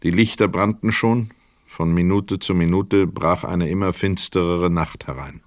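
Male narrator, very deep voice, dry close sound, measured sober reading, swiss german accent. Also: no echo. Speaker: narrator of a radio play. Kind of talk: scripted radio play narration.

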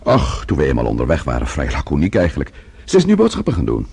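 A man's voice: rumbling low, wildly swooping pitch, fast talker, creaky, a little mellow, slightly rough, full character voice. An actor playing an anxious, wry husband in a Dutch radio play, talking urgently and drily with his friend. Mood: earnest, uneasy.

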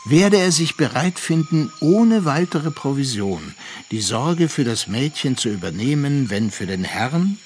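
An actor playing a composed gentleman who recalls a journey in measured, literary radio-play narration. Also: medium pitch, sing-song, slight background noise. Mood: dry, recounting. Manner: measured, articulate, descriptive. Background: music.

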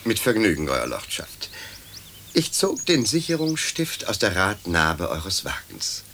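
An actor playing a sly, deferential dead servant in a radio play, courteous and deliberate in his phrasing. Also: lowish voice, wildly swooping pitch, pushed and bright, exaggerated voice. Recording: old radio recording with hiss.

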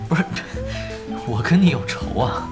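A young gaming veteran in a radio drama, easygoing and unhurried. His mid-pitched voice moves expressively with a casual, teasing edge. Loud music plays underneath. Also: sing-song. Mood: relaxed, teasing. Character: laid-back, easygoing young male expert online gamer. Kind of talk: Chinese audio drama acting.

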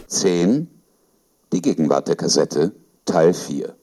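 Guy announcing chapter titles, real slow and dark, swoopy intonation. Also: deep voice, big pitch swings.

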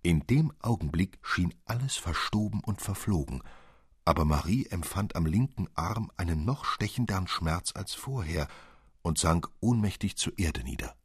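A man narrating a literary fairy tale for radio as an expressive storyteller. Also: low-pitched, moderate pace, breathy edge, no echo, studio quality.

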